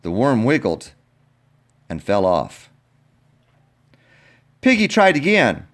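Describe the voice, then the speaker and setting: A man reading a picture book aloud in an expressive, playful way, talking directly to young listeners. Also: medium pitch, takes it slowly. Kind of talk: animated children's storybook read-aloud.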